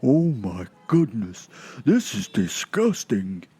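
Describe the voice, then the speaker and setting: A man puts on an old Santa figure in an audio drama. He is prim and thoroughly disgusted, drawing each exclamation out slowly and projecting it loudly. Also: fairly low pitch, big pitch swings, a little hiss or hum, heavily acted. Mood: appalled. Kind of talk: audio drama character acting.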